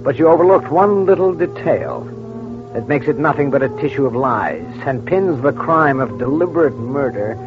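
An actor playing a stern, accusing crime doctor, dramatic and deliberate, in an old radio crime series. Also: male voice, medium pitch, animated, lightly acted. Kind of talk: 1940s-50s crime radio acting. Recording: muffled old radio recording with music.

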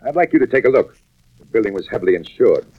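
A grave, courteous insurance boss gives businesslike instructions in a vintage radio drama. His voice is low and the recording slightly dull. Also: sing-song, fast talker, husky. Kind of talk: 1940s radio drama acting.

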